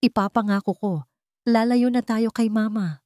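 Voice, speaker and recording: A woman's voice: fairly high pitch, steady tone, normal speed, a little mellow, dry recording, clean studio sound, voice pushed up, natural voice. A woman narrator voicing a weak, desperate husband who begs tearfully in an audiobook drama. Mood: desperate pleading. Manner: begging, tearful. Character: desperate, pleading husband, weak mama's boy.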